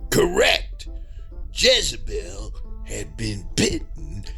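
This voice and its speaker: A man playing a folksy, mock-formal rural Southern monster hunter in a comedy horror audio drama, with a gravelly, raspy voice. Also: mid-range voice, huge pitch swings, extremely slow, a bit bright, soft background music, heavily acted. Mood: grave storytelling.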